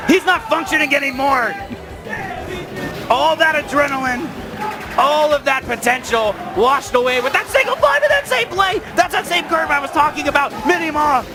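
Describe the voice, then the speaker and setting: Male esports caster, very high register, exclamatory and hyped, calling matches live over clear music and crowd noise. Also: expressive, normal speed. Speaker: esports commentator. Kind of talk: excited live play-by-play commentary. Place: live tournament venue. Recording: loud event hall, crowd and music. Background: cheering crowd and music.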